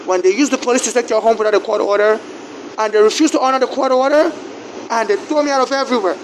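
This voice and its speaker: High-voiced halting Nigerian man. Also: a little hiss or hum, poor audio. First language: a Nigerian language. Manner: halting, repeats words and stumbles through restarts. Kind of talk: personal grievance account.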